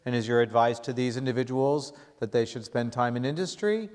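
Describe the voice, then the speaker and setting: Brisk joking male moderator. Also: mid-range voice, lively intonation, darkish tone. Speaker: class host, moderator. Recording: long hall reverb.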